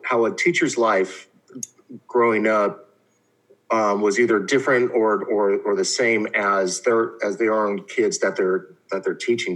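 A man with a somewhat low, husky voice hosts a conversational interview. He circles his questions with repeated words and small stammers before landing them. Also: a bit bright, rough recording. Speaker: co-host. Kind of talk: podcast interview.

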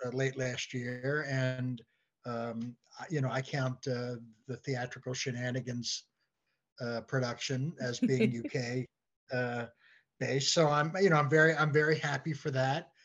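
An older man chatting as an interview guest, halting and repeating words as he searches for his phrasing. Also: mid-range voice, level tone, a bit bright. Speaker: guest, playwright and comedy writer. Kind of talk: casual interview chat. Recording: dull, lo-fi voice track.

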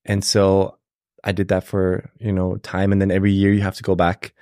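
A young man from Switzerland, a podcast guest, telling his story in a relaxed, halting way with many fillers. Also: low-pitched, dry recording, studio quality. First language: Swiss German. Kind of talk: casual interview storytelling.

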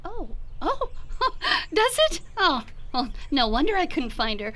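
A woman's voice: very high-pitched, big pitch swings, slow pace, sharp tone, full character voice. A woman playing a scatterbrained, giggly, forgetful butterfly with a dithering manner in children's audio theater. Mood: dithery, giggly.

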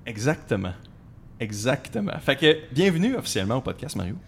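A man's voice: medium pitch, sing-song, fairly quick, creaky, a little hiss or hum. A young man, hosting a podcast interview in a playful, joking way. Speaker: podcast host. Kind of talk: casual interview chat.

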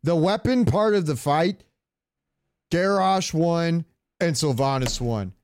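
A man co-hosting a podcast in casual chat, talking like an animated, argumentative fan. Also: a little high, takes it slowly, no room sound. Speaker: podcast co-host. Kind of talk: casual chat about game cinematics.

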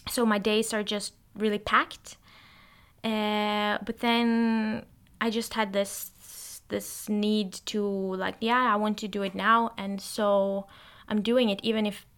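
Young norwegian woman, bright clear voice, tired, hesitant, thinking aloud. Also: mid-range voice, little pitch movement, slow pace. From Norway. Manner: hesitant, reflective, many fillers. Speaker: podcast host. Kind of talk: casual solo monologue. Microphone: testing a new microphone.

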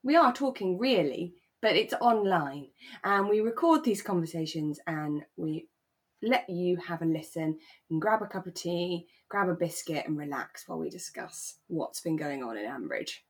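A woman's voice: on the low side, expressive, normal speed, slightly rough. A British woman co-hosting a podcast in casual conversation, chatty and given to questioning her own words. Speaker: podcast co-host. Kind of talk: casual chat about a radio drama.

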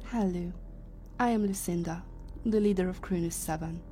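Lucinda, a woman playing a mellow, commanding leader. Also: fairly low pitch, faint music behind, natural voice. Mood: composed. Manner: measured, authoritative, explanatory. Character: Lucinda, composed female leader of secret time travelers. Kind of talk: science fiction audio drama acting.

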